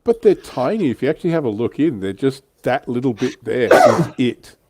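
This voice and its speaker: A man's voice: mid-range voice, big pitch swings, moderate pace, heavy background noise. A man hosting a hobby livestream, chatting casually about tabletop wargame miniatures.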